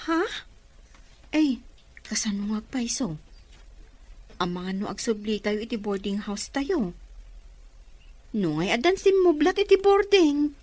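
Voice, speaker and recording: A woman's voice: on the high side, lively intonation, measured pace, long pauses, a little mellow. A woman with an Ilocano Filipino accent playing a respectful, anxious household helper in a radio drama. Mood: uneasy.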